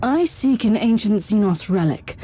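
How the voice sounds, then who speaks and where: Mellow, stern warrior inquisitor. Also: female voice, medium pitch, animated, moderate pace, slightly rough, soft background music. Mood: cold judgment. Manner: solemn, commanding, clipped. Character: stern, pious female inquisitor, battle sister. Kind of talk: video game voice acting.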